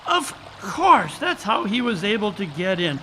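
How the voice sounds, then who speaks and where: Man playing a high-pitched, keen detective. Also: sing-song, unhurried, pushed and bright, full character voice, poor audio. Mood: excited. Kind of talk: old-time radio drama acting, live stage reading. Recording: live conference recording, background water noise.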